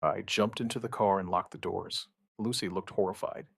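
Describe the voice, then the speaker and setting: An actor playing a shaken outbreak survivor, giving his account in halting, somber, dramatic narration with short deliberate phrases. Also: low voice, steady tone, gravelly, husky, dry recording, clean studio sound, full character voice. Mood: grim, haunted. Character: shaken male outbreak survivor recounting events, dryly stubborn. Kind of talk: dramatic first-person scripted narration, witness account.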